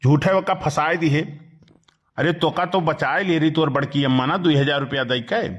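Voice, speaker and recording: A man's voice: on the high side, normal speed, close to an everyday voice. A man voicing a blunt, grumbling village uncle with an Awadhi accent in a cartoon comedy, nagging as he talks.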